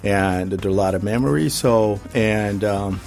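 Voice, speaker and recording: A man's voice: on the low side, normal speed, gravelly, darkish tone, soft background music. A middle-aged man from the Congo, speaking reflectively and hesitantly as an interview guest. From Democratic Republic of the Congo. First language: French and Swahili. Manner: hesitant, searching for words.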